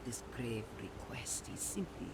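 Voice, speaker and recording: An actor playing a stern, skeptical male elder in a fantasy game, measured and formal as he questions. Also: medium pitch, animated, close to an everyday voice. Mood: stern, doubting. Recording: noisy ambient background.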